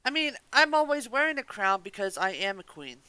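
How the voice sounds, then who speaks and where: Female panelist, crisp clear voice, dry close sound, emphatic opinions. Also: on the high side, big pitch swings, a little fast, pushed and bright, dry recording. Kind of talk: casual chat.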